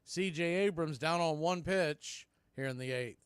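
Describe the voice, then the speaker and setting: Higher-pitched male baseball play-by-play announcer. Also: unhurried, clean tone, no echo. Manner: conversational, partisan asides between clipped pitch calls. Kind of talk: live sports commentary.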